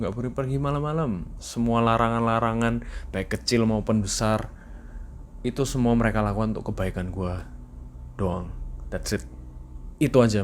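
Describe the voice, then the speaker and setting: A young man with an East Javanese Indonesian accent, chatting casually in a video journal, slipping in English phrases and laughing now and then. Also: fairly low pitch. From East Java. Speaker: vlogger.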